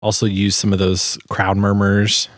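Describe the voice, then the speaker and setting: A man giving a casual tutorial as a sound designer, informal and halting as he thinks aloud. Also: on the low side, completely flat delivery. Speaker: sound designer and video host.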